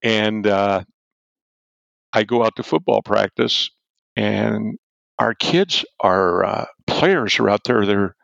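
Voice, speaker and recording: An older man with a low, gravelly voice tells a story as an interview guest, loud and animated, chuckling as he goes. He was a football coach. The recording is dull and dry, with little high end and no room sound. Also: slow, no room sound. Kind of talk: storytelling in a podcast-style interview.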